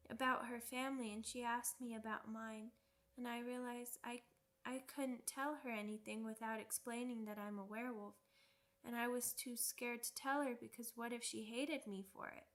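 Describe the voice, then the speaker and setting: A woman's voice: a little high, natural voice. A woman playing a young werewolf pup in an ASMR roleplay, childlike, cheeky and earnest, with pouty, rambling speech. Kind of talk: ASMR roleplay audio drama.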